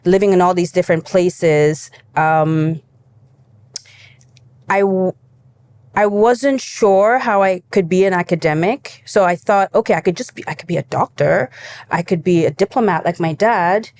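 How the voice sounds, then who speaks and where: A young adult woman from Cameroon, a guest in an interview, telling her story in a lively casual way and acting out her younger self. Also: lowish voice, unhurried. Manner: animated storytelling, acts out her younger self, laughs easily.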